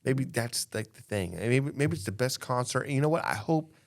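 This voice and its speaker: A man's voice: mid-range voice, lively intonation, fairly quick, vocal fry. A man co-hosting a casual podcast, giving opinions emphatically, repeating himself and often checking in with "right?". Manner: emphatic, repetitive, often tagging statements with "right?". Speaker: podcast co-host. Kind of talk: casual opinionated panel chat.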